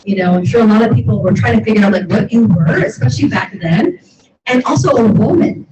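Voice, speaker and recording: A woman moderating a live interview, asking questions in a halting, casual way with restarts. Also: medium pitch, animated. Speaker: moderator, professor. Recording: echoey, distant, dull, noisy.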